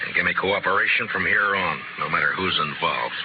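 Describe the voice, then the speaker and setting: An actor playing a firm, principled frontier marshal, measured and dryly authoritative, in 1950s radio western style. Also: male voice, deep voice, close to an everyday voice. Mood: dry, stern. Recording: muffled, noisy old radio recording.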